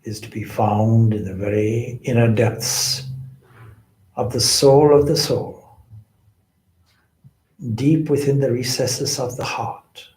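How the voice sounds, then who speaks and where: A man gives guided spiritual instruction at a very slow pace. His phrasing is deliberate and measured, broken by pauses. His mid-pitched voice echoes in the room. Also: steady tone, a little mellow. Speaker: meditation teacher, swami.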